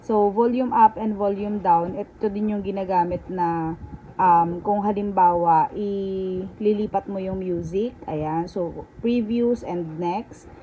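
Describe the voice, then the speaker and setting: A Filipino woman presents an informal hands-on tutorial, switching between Tagalog and English as she speaks straight to viewers. Her voice sits at an average female pitch. The recording carries noticeable background noise. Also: slow, narrow, tinny audio. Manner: informal, addresses viewers directly. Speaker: product tutorial vlogger. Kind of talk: casual hands-on product tutorial in Taglish.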